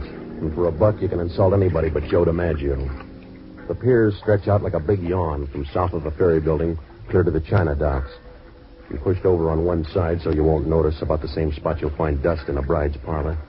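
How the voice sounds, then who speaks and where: Fast, deep-voiced hard-boiled American detective. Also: male voice, soft background music. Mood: wry, jaded. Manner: sardonic, clipped, deadpan. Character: wisecracking hard-boiled male waterfront private eye. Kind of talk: 1940s radio detective acting and narration. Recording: muffled, hissy old radio recording.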